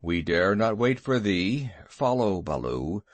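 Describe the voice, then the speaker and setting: A proud panther speaks in a lowered, grave voice with old-fashioned formality, slow and deliberate, as a man reads him in a storybook. The sound is close and dry. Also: sing-song, no room sound.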